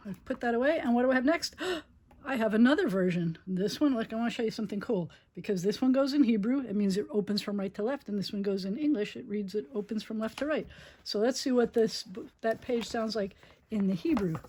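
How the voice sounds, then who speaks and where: Husky-edged woman reading a children's storybook. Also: a little high, a little fast. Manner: expressive, asks listeners questions. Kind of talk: children's storybook read-aloud with chatty asides.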